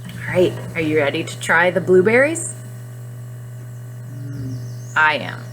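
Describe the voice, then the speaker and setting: A woman teacher speaking to young children in simple, expressive phrases during a guided reading lesson. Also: low voice, a little slow, faint music behind, low-quality recording. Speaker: classroom nutrition teacher.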